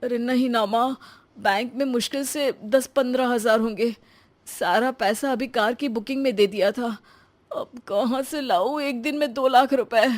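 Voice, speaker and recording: In a cartoon story, a brash young husband who loves to show off throws his money around and talks big, loud and pleased with himself, as a woman voices him. The voice sits fairly high for a man. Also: moderate pace, lightly acted. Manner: boastful, brash. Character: showy spendthrift young husband, boastful. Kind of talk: cartoon dubbing.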